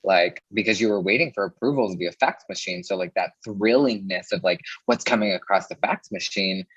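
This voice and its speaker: A man's voice: low-pitched, normal speed, breathy edge, no room sound, dull audio. A man who is an interview guest from the mortgage business, telling stories in a casual, chatty way and often saying "like". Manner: chatty, peppers speech with "like". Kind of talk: casual conversational storytelling.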